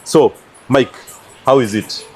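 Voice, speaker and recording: A man's voice: mid-range voice, takes it slowly, a little mellow, soft background music. A man presenting his vlog outdoors beside a car, talking chattily with many fillers. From Kenya. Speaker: vlog host.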